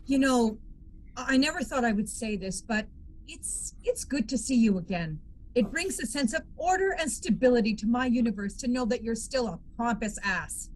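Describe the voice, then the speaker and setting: Combative female military lawyer, read by a woman, brisk and teasing, slightly high pitch, amateur sci-fi drama. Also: lively intonation, a little hiss or hum, close to an everyday voice, poor audio. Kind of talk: amateur table read of TV script.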